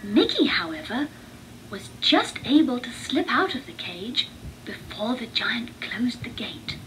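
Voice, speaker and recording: A woman narrating a children's read-along storybook with deliberate, expressive phrasing. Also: on the high side, slight background noise, poor audio.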